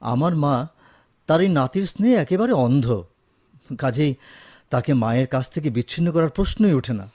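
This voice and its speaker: A man's voice: a little high, expressive, fairly quick, pauses often, dark tone, no room sound, studio quality, lightly acted. A man with a Bangladeshi accent acting a dutiful, firm, educated officer in a Bengali radio drama. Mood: firm, polite.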